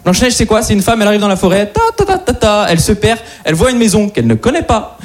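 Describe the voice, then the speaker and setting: Male stand-up, high and very animated, live room with crowd roar and noise. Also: fast. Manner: animated, addresses audience directly. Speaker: stand-up comedian. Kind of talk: stand-up routine. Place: comedy stage before audience.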